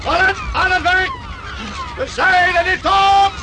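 A man's voice: very high-pitched, steady tone, slow, a crowd in the background, background noise. A man in a radio play acting a stern ship's officer with a formal, barking delivery. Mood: shouting orders. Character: stern, commanding ship's officer of sailing days.

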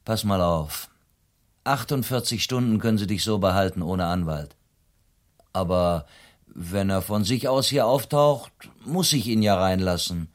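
A man's voice: deep voice, unhurried. An actor playing a sly, matey, corrupt policeman in a German radio play, talking colloquially and insinuatingly.

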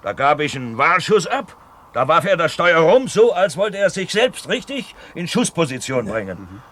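An actor playing a grizzled, blustering grey-haired merchant captain in a radio drama, with a hoarse, salty, emphatic delivery. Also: male voice, a little high, big pitch swings, moderate pace, fairly bright tone. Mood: earnest, emphatic. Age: older. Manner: bawling, emphatic, salty storytelling. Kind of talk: 1970s German radio drama acting.

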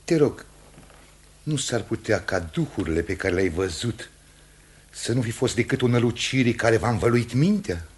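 An actor playing a stern, authoritative presiding judge in a radio drama, commanding, formal and probing. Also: male voice, on the low side, expressive, close to an everyday voice. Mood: grave. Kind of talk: theatrical radio drama acting. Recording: noisy old radio drama recording.

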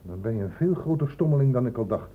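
An actor playing a stern, insistent doctor in a radio play, blunt and urgent, in a lecturing way. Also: male voice, medium pitch, expressive, quick pace, a little hiss or hum, close to an everyday voice. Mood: stern, probing. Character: stern, insistent male doctor questioning young people. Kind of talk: Dutch radio play acting. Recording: muffled old radio play recording.

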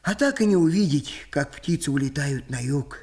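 A man playing a gravelly old forest spirit. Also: fairly high pitch, expressive, normal speed. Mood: wistful. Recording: loud music behind.

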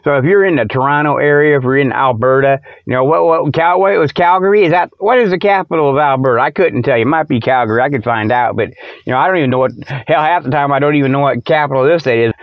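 A man's voice: medium pitch, quick pace, no echo, dull audio. A man hosting a comic show, rambling off the cuff in a joking, folksy way with loose colloquial grammar and chuckles at himself. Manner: colloquial rural-style grammar such as ain't and them.

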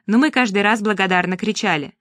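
Dry, calm woman reading a story. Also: medium pitch, no echo. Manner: calm, measured, gently ironic storytelling. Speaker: audiobook reader. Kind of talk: scripted narration of a first-person story.

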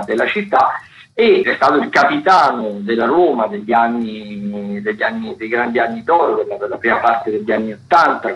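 A man giving theatre listings on the radio in a conversational, halting way, often repeating and correcting himself. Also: medium pitch. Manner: halting, frequent repetitions and self-corrections. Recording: dull lo-fi sound, clear background noise.

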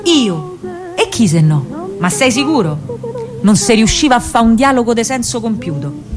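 An actress playing a spirited young partisan woman with a Roman accent, telling her story in an expressive, colloquial radio monologue. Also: a little high, normal speed, music in the background. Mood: enamored, wistful. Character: young female partisan courier, lovestruck and spirited. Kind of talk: theatrical radio monologue, dramatic first-person storytelling.

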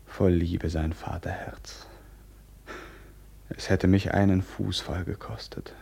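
An actor playing an anguished noble young outlaw in a radio drama, brooding and declamatory. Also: male voice, low voice, level tone, takes it slowly, gravelly, mellow, slightly rough, full character voice. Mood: bitter anguish. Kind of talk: classic German radio drama acting.

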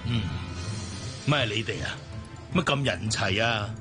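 A male actor playing a cynical, gloating, ruthless villain in a radio drama, with a husky, gravelly voice and a Hong Kong Cantonese accent. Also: lowish voice, slow pace, loud music behind the voice. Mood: sneering, gloating. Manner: sneering, taunting.

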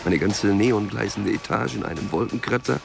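An actor playing a cynical, restless small-time drug hustler in a radio crime drama, sardonic and brooding in wry asides. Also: male voice, lowish voice, normal speed, vocal fry, over music. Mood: brooding sarcasm.

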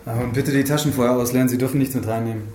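An actor playing a polite, officious prison guard in a radio crime drama, formal and procedural. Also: male voice, medium pitch, fairly even intonation, rapid-fire, roomy sound, natural voice.

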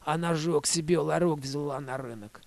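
A man's voice: fairly high pitch, normal speed, creaky. A man performing a rambling first-person monologue as a coarse, hard-drinking storyteller, in profane street slang. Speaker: author-performer of a one-man audio play.

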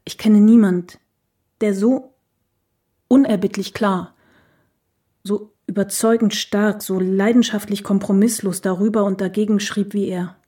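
A wistful, reflective woman, recalls a past love in a radio play. Her voice is very mellow and mid-pitched, and she speaks in a sober, measured way. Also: steady tone, husky. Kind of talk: documentary radio play monologue.

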